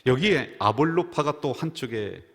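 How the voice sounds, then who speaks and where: A man's voice: medium pitch, animated, normal speed. A man, the senior pastor, preaching a Sunday sermon in a church sanctuary, telling stories and acting out the people he quotes. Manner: storytelling preacher who acts out quoted voices. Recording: reverberant church hall.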